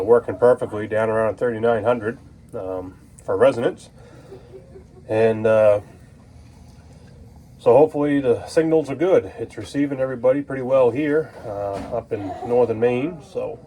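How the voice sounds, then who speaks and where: A man's voice: lowish voice, dark tone, slight background noise. A man talking casually and informally in a folksy way, explaining things as he goes. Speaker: homesteader and amateur radio operator vlogging.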